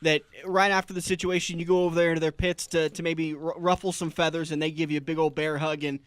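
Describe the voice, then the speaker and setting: A man's voice: a little high, level tone, fast talker, clear, resonant tone, no room sound. A man hosting a radio show, easygoing and laughing along with his guests in casual chat. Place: radio studio.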